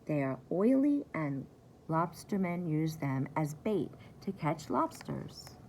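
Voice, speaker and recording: A woman reading aloud for young children like a preschool teacher, in a simple, deliberate way, repeating key words. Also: sits low, sing-song, darkish tone, slight background noise. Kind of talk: children's story time read-aloud.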